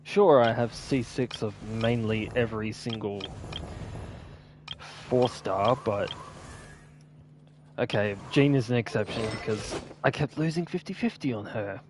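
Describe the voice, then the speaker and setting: A man's voice: mid-range voice, a little slow, noisy background. A man giving casual, unscripted gameplay commentary in an offhand, rambling way. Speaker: gaming video host.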